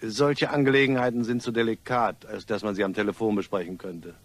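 A man's voice: fairly low pitch, fairly even intonation, brisk, natural voice. Actor as a smug, suave male blackmailer. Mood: smooth, commanding. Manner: suave, mock-polite, taunting. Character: smug male blackmailer, mockingly courteous and menacing. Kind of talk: German crime radio play acting.

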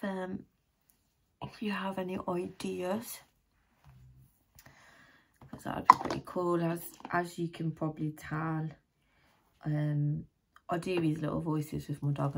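A British woman in her thirties with a deep, croaky, tired voice, chatting casually and thinking aloud to camera as she vlogs at home. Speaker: vlogger. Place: small room at home, indoors.

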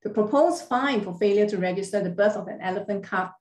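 A woman lectures over a video call, at a middling pitch and a measured pace, explaining legal points step by step. Her voice carries a roomy echo from a small room. She pauses now and then with small fillers as she reads through her slides. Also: a little mellow. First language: not Thai.

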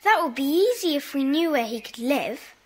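A woman's voice: high-pitched, animated, normal speed. A woman playing an eager, emphatic schoolchild in a children's audio drama. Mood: determined. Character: eager, indignant, kind schoolchild.

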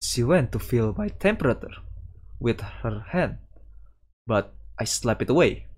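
A man streaming as a VTuber, reading a visual novel aloud and reacting live in an excitable, dramatic way. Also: mid-range voice, measured pace, breathy edge.

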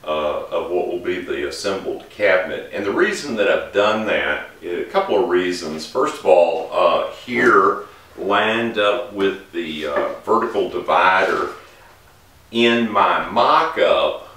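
A man's voice: low-pitched, slow pace. Middle-aged man in an echoey workshop. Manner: relaxed, explains each step while working. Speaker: woodworking instructor. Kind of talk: casual demonstration while working. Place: large woodworking shop indoors. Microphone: camera mic from a distance. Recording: echoey workshop, distant mic.

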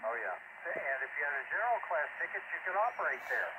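A man's voice: on the high side, big pitch swings, fast. A man chatting casually as a ham operator over a two-way radio. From United States. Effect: two-way radio transmission. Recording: narrow, phone-like radio audio with static.

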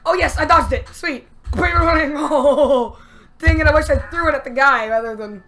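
A young woman giving excited gameplay commentary with a strained, worn voice, yelping and laughing at the action. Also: sits high, a little slow. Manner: yelps and shrieks at the action, laughs a lot. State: strained, losing her voice. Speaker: let's play gamer. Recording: faint game music behind.

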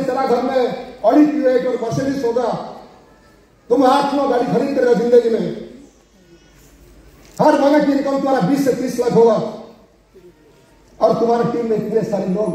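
A man's voice: very high-pitched, warm tone. A middle-aged man with an Odia accent gives a rousing motivational stage speech, mixing Hindi and English and calling for applause. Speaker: motivational speaker at a business seminar. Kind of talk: stage speech to a large audience. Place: large auditorium stage. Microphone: handheld mic heard from far off. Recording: echoey hall, distant mic, crowd behind.

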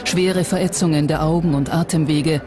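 A documentary narrator delivers a scripted report. The voice sits at a middling pitch. Music plays clearly behind the narration. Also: fairly even intonation, normal speed. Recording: music bed under the voice.

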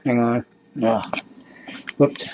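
Fifties man from Canada, tired rambling home vlog on a webcam, swears and sighs, soft hum of air conditioning. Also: medium pitch, steady tone, extremely slow, rough recording. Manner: mumbling, sighing, swears often, trails off. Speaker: vlogger. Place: small cluttered room indoors at night. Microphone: webcam close to face.

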